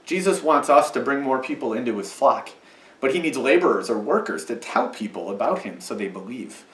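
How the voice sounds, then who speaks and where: A man's voice: mid-range voice, expressive, a little fast, a bit bright, echoey room, far from the mic. A man leading a children's message in church, patiently explaining in a sing-song way for kids. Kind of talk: children's sermon with puppet. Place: church sanctuary.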